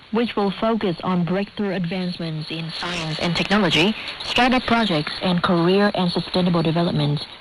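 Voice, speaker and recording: A woman reading the news in an even, careful way, on a radio broadcast. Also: lowish voice. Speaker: newsreader. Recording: noisy shortwave radio broadcast. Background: shortwave static and hiss.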